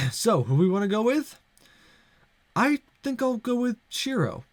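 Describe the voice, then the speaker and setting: A man's voice: sits high, big pitch swings, unhurried, no echo. A man hosting a casual let's play, chatting with playful asides and reading visual novel text aloud. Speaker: YouTube let's play host. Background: game music.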